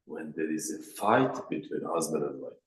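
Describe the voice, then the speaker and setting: A man's voice: rumbling low, lively intonation, moderate pace, roomy sound, rough recording. A man with a Persian accent giving a workshop lecture on marriage as a rabbi, in plain short sentences, raising his voice to act out quoted speakers. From Iran.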